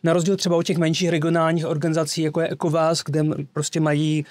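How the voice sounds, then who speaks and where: A man's voice: a little high, level tone, darkish tone, dry recording. A man speaking as an expert guest in a studio panel discussion, fluent but full of fillers. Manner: fluent, with frequent filler words. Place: small studio with wooden table. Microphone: desk mic on boom arm.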